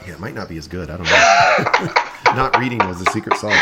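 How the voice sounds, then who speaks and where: A man hosting a podcast in casual chat, playful and animated, with a slight rasp in his voice. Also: on the low side, normal speed. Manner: playful, animated, laughs often. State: slightly raspy from lost voice. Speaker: podcast host.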